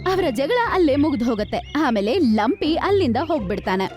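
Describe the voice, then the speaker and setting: A woman's voice: sits high, sing-song, a little fast, a little mellow. A woman giving scripted narration for a children's cartoon story. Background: music.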